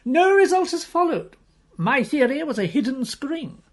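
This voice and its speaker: A man voicing an excitable, fanciful gentleman in an audiobook mystery, emphatic and fussy, prone to exclaiming. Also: extremely high pitch, moderate pace, pitched above the performer's own voice. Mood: insistent. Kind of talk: audiobook character voice.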